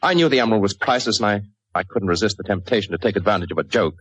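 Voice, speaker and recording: A meek, impoverished scholar in a vintage radio drama. He talks quickly in a low, husky voice, stumbling apologetically over his words. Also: a bit bright, no room sound. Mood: desperate, pleading. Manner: hesitant, stammering, apologetic. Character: meek, desperate male impoverished mathematician. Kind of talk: 1940s radio acting.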